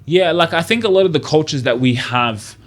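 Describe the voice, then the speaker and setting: A man talking as a guest on a podcast. He speaks in a relaxed, rambling way. He fills his sentences with "like" and "you know.". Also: fairly high pitch, fairly quick. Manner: loose and chatty, full of "like" and "you know". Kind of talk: casual conversation.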